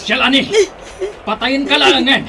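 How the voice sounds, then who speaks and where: An actor playing a menacing, mocking armed pursuer in a radio drama, taunting in forceful shouts. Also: high-pitched, fairly even intonation, measured pace, gravelly, exaggerated voice.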